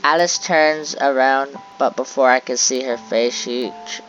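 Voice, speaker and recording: A man reading a visual novel aloud as dramatic first-person narration, halting and stumbling a little over the text. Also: mid-range voice, steady tone, fairly bright tone. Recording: dull lo-fi audio with music behind.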